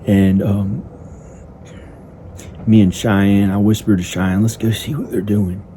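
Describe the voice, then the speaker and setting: A man improvising a first-person story in a halting, simple, repetitive manner, with hesitant pauses. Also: lowish voice, fairly even intonation, dark tone. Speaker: amateur storyteller narrating own improvised story. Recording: noticeable background noise. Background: ambient sound effects.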